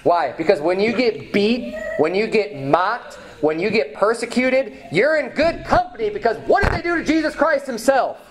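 A man in his thirties preaching a sermon from a pulpit, emphatic, repeating phrases and climbing in pitch when stirred. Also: animated, normal speed, hardly any pauses. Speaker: pastor. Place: small church hall with banner, indoors. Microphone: far camera, at a pulpit.

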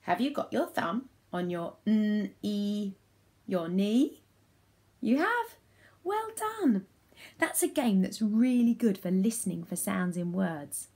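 Slow-paced British woman teaching little children. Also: mid-range voice, sing-song, a little mellow, husky. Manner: encouraging, exaggerated enunciation, question-led. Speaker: phonics teacher for young children. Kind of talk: interactive lesson for small children. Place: small room at home.

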